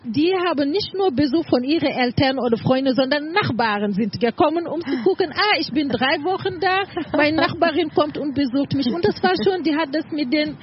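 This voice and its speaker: A woman's voice: high voice, animated, normal speed, few pauses, a crowd in the background. A middle-aged woman from Senegal with a Senegalese French accent, answering interview questions as a project coordinator, feeling her way through phrases. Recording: muffled lo-fi sound, faint noise.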